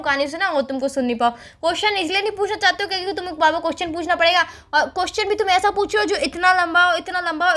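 A woman's voice: extremely high pitch, a bit bright. A young woman chatting casually on a phone call as a girlfriend, insistent and nagging. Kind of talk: casual couple's phone chat.

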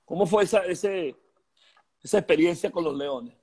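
Older Venezuelan man hosting a video-call chat from his home, his voice husky and fairly high for a man, joking and stretching words out for emphasis. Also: dark tone. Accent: Venezuelan Spanish. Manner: chatty, joking, drawn-out emphatic phrases. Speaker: host. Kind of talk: casual live-stream interview. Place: home room with shelves, indoors. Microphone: video call webcam.

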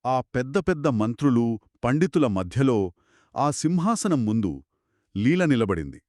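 A man co-narrating a children's moral story in an expressive, conversational way. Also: medium pitch, unhurried, dry recording, studio quality. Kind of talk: conversational two-person storytelling.

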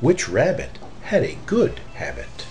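Middle-aged man reading slowly, lively pitch. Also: faint music behind. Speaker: storybook reader. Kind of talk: children's story read aloud.

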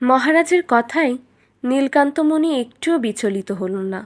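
High-pitched woman narrating a fairytale. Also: lively intonation, moderate pace. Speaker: storyteller, narrator. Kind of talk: scripted children's fairytale narration.

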